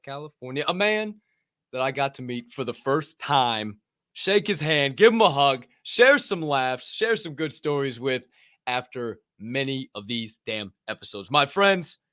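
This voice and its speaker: Hyped, bright-voiced male show host. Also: a little high. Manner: energetic, profane, big hype-man announcer intros. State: hoarse after a long weekend. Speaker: podcast host. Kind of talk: hyped casual show hosting.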